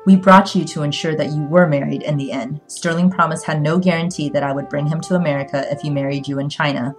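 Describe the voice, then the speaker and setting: A woman voicing a stern, proud Chinese father in an audiobook, domineering, gruff and bitter. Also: deep voice, fairly quick, faint music behind, lightly acted. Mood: sour, cold. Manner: gruff, demanding.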